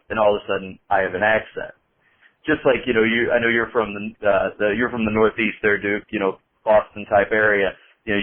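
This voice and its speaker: Podcast guest: Indiana man, lowish, even delivery, chatty, phone-quality audio. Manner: chatty, many restarts and fillers. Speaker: wrestling commentator guest. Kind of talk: casual podcast chat.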